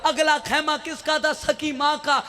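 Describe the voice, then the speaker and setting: A man reciting a mournful elegy in a wailing, sing-song chant, at times shouting. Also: very high voice, level tone. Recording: echoey hall.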